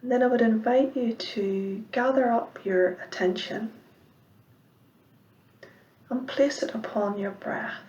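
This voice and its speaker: A woman giving guided meditation instruction as a mindfulness teacher, speaking in a measured, gentle way with pauses and soft, breathy phrase endings. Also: on the high side, muffled recording. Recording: roomy, echoing sound.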